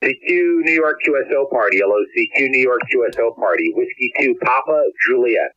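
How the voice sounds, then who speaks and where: A man's voice: mid-range voice, animated, a little fast, pushed and bright, highs cut off. An American man working a radio contest over shortwave, giving call signs and reports in phonetics. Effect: ham radio transmission. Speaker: amateur radio contest operator. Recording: dry band-limited shortwave radio signal.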